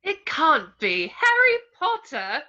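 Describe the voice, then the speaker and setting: Woman playing a bright-voiced, grandiose celebrity wizard. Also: high-pitched, wildly swooping pitch, slow pace, muffled recording, full character voice. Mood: smug showmanship. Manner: grandiose, self-promoting showman. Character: vain, showy male celebrity wizard author. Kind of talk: online script table read.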